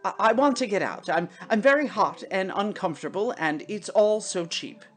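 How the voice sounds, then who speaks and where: A man's voice: sits high, expressive, normal speed, soft background music, pitched above the performer's own voice. A woman voicing a prim, irritable man who has newly died, protesting in a stammering, indignant way as a storybook character.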